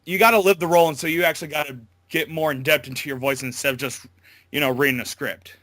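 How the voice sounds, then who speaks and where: Bright-voiced man chatting in an interview. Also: fairly high pitch, steady tone, fast talker, no echo, clean studio sound. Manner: hesitant, rambling, informal. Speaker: amateur voice actor being interviewed. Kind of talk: casual interview chat.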